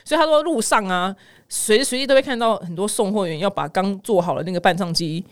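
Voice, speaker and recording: A woman's voice: medium pitch, fast, smooth. A middle-aged woman with a Taiwanese Mandarin accent hosts casual news commentary with personal anecdotes, chatty, colloquial and exclamatory. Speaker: podcast host.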